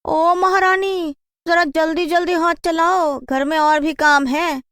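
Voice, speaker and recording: A woman's voice: very high-pitched, fairly even intonation, takes it slowly, a bit bright, no echo, clean studio sound, voice pushed up, heavily acted. A woman voicing a bossy, scheming wife with a haughty, mean manner in a cartoon story. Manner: commanding, mocking.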